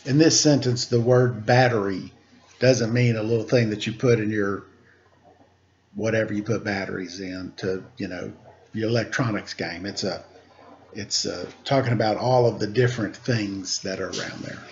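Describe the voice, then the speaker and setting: A man reading aloud to children as a storyteller, with theatrical, drawn-out emphasis and casual asides. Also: fairly low pitch, fairly even intonation. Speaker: storybook reader, teacher. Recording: muffled lo-fi recording.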